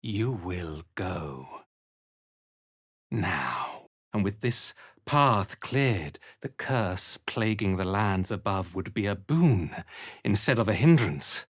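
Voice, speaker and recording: An actor playing a cold, haughty commander of a fanatical cult in a video game, speaking with measured, condescending menace. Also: male voice, sits low, animated, slightly rough, no room sound, studio quality, heavily acted. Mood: cold, commanding. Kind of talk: video game character acting.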